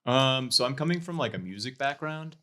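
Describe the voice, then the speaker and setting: A man's voice: mid-range voice, lively intonation, brisk, a bit bright. A man chatting casually as a podcast guest, reflective and meandering as he explains himself. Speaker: podcast guest, comedy writer. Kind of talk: casual chat.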